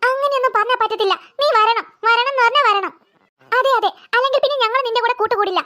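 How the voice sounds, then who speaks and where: A woman's voice: extremely high pitch, quick pace, sharp tone. A woman voicing a caring child friend in a children's cartoon, pleading and insistent.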